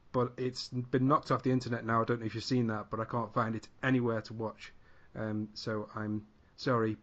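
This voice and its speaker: A co-host rants with over-the-top, sweary comic exaggeration in a middling voice. The recording is lo-fi and muffled. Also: male voice, mid-range voice. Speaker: podcast co-host. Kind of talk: casual panel discussion. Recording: dull lo-fi sound.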